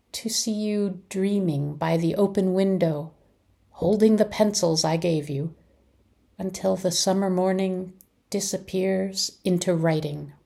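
Slow, husky woman reading poetry. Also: mid-range voice, dark tone. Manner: measured, deliberate phrasing with pauses. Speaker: community poetry reader.

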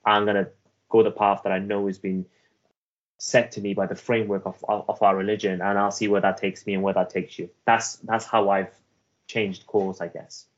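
A man from Afghanistan with a Welsh accent, a guest in an interview telling his story in an earnest, halting way with many restarts. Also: lowish voice, flat delivery, lo-fi sound. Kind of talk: reflective personal storytelling.

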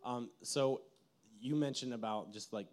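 A man's voice: medium pitch, a little slow. A man hosting a casual interview, asking questions in an enthusiastic, informal way. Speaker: interviewer, host.

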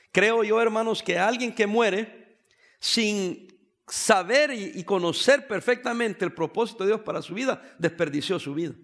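A man's voice: high voice, a bit bright. A man from El Salvador preaching a sermon as pastor of a church, conversational and joking as he talks to the congregation. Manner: conversational preaching with humorous asides.

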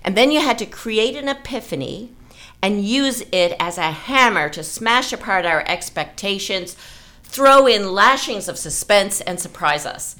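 A woman's voice: medium pitch, animated, unhurried, sharp tone. A woman hosting a conversational literary podcast, explaining ideas and asking leading questions. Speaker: podcast host.

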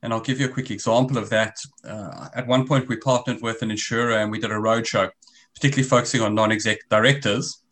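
A man's voice: fairly low pitch, fairly even intonation, fast talker, fairly bright tone. A man in his forties with a South African accent, speaking as an interview guest over a remote call and explaining things in long runs with frequent restarts. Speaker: guest, risk business founder. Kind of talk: conversational interview.

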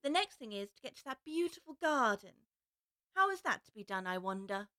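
A woman's voice: fairly high pitch, sing-song, fairly quick, bright, forceful tone. A woman voicing a polite, puzzled little girl in a storybook reading, speaking in an earnest, politely protesting way.

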